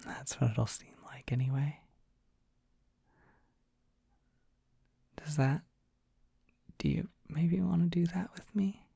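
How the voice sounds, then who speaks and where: A performer playing a dorky, gentle young dragon boy in an ASMR roleplay, speaking in a soft breathy whisper, tender and bashful. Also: medium pitch, moderate pace, no echo, exaggerated voice. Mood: gently hopeful. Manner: tender, bashful, hesitant, softly reassuring. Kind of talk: ASMR roleplay, intimate comforting monologue.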